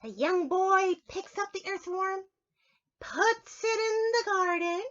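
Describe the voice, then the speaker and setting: A woman's voice: extremely high pitch, takes it slowly, a bit bright, highs cut off. A woman preschool teacher reads a picture book aloud to young children, expressively drawing out words and addressing them directly.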